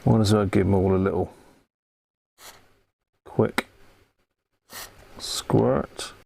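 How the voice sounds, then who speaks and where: A man's voice: on the low side, steady tone, very slow, creaky, a little mellow, slightly rough. A man giving casual, unscripted live commentary to himself as he works on a hobby repair stream. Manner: unscripted, muttering to himself while working.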